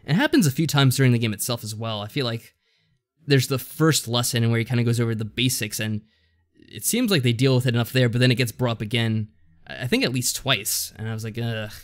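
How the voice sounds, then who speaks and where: A man speaks in a casual, conversational way at a middle pitch. He feels his way through what he is saying, hesitant and loosely rambling, as a podcast co-host does in an informal discussion. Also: a little fast. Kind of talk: casual discussion recapping a visual novel.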